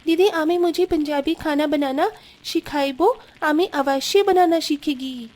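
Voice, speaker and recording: A high, sweet cartoon voice for an earnest young Bengali daughter-in-law, put on by a woman. She speaks politely with drawn-out words and a Bengali accent, yet holds her own. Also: darkish tone.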